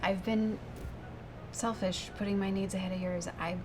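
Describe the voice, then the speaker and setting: A woman's voice: medium pitch, lively intonation, moderate pace, close to an everyday voice. Headstrong young goth fiancée played by a woman, music behind. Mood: contrite. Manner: insistent, dramatic, persuading. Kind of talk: comedic short film dialogue.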